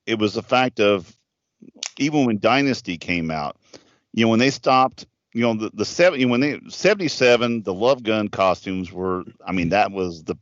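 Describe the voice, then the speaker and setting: A man in his fifties with a fairly low voice talks casually on a podcast, rambling and cursing freely. The sound is dry and close, with a dull, lo-fi tone. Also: normal speed, dry recording. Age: 50s. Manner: rambling, profane, frequent restarts. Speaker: podcast co-host. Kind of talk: casual chat.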